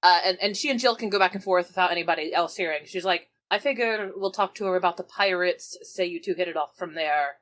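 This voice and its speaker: A woman's voice: lowish voice, fast, few pauses, fairly bright tone. A woman casually improvising narration and dialogue as a player in a tabletop roleplaying game, informal and conversational.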